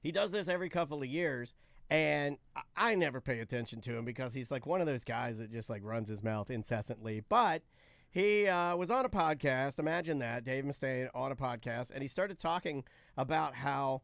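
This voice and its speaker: A man's voice: medium pitch, moderate pace, creaky, fairly bright tone, dry recording, clean studio sound. A man hosting a talk show in casual banter, ranting and repeating himself for emphasis. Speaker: podcast and radio show host.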